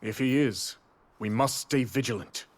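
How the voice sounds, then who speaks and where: An actor playing a resolute, vigilant fantasy warrior in a video game, earnest and commanding. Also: male voice, mid-range voice, unhurried, lightly acted. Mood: grave, resolute. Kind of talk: video game cutscene acting.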